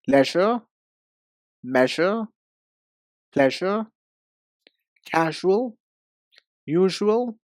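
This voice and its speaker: A man with an Indian accent giving an instructional English pronunciation lesson, speaking in a deliberate, carefully enunciated way. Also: sits high, darkish tone, no room sound. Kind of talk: instructional lesson with repeat-after-me drills.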